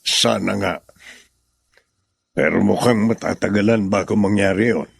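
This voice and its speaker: An actor playing a calm, steady widowed father who has remarried, in a radio drama. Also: on the low side, a little slow, vocal fry, husky, no echo, full character voice. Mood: reassuring. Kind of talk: Filipino radio drama acting.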